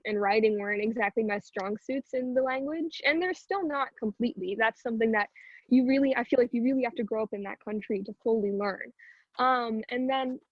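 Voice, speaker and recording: Young woman, American accent, late teens, fairly high voice, casual and chatty, slightly dull recording. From Houston, United States. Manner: informal, chatty, frequent "like". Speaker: singer and narrator being interviewed. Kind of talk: casual chat. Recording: dull, roomy sound.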